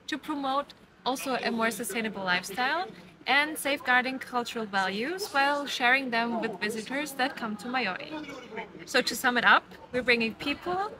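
A woman volunteer explaining the goals of a nature project to the camera in a clear, purposeful way. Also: on the high side, lively intonation, normal speed, a crowd in the background.

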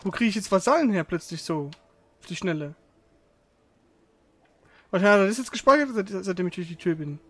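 Male streamer, notably high voice, rapid delivery, casual, sweary, exasperated gameplay chatter. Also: animated, fairly bright tone, dry recording. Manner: frustrated, grumbling, sweary. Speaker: video game streamer.

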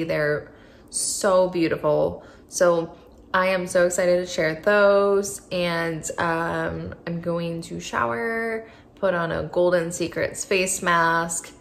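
A woman's voice: lowish voice, vocal fry, roomy sound, slight background noise. A woman in her thirties chatting casually to camera in her home vlog, with many "like"s and "um"s. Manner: chatty, filler-heavy, lots of "like" and "um". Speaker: vlogger. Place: kitchen and living room of an apartment.

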